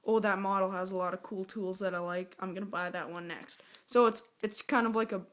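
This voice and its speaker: A woman talks through an unboxing in a casual, rambling way. Her voice is hoarse and scratchy from a sore throat, and her pitch stays level and flat throughout. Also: a little fast. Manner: rambling, chatty, self-aware. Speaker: product reviewer.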